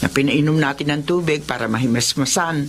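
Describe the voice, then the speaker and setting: A woman playing a concerned, middle-aged mother in household service, earnest and expressive, in a radio drama. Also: sits low, fairly quick, slight background noise, lightly acted. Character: concerned older mother working as household help.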